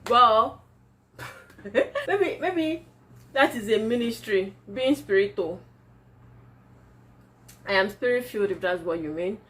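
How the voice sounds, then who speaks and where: A woman's voice: on the high side, animated, slow, pauses often, a bit bright, slight background noise. A woman with a Nigerian accent, whose first language is Yoruba, chatting casually in a vlog and laughing often as she answers viewer comments. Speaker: vlogger.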